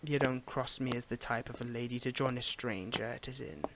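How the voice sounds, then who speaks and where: Clear background noise sits under a man acting out a gentlemanly Victorian footman in an intimate audio roleplay. His voice is mid-pitched and gentle, sometimes sinking toward a whisper. He speaks with halting, formal politeness, weary yet flirtatious. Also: little pitch movement, close to an everyday voice. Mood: courteous, smitten. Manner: formal old-fashioned phrasing, gentle, halting, lapsing into whispers.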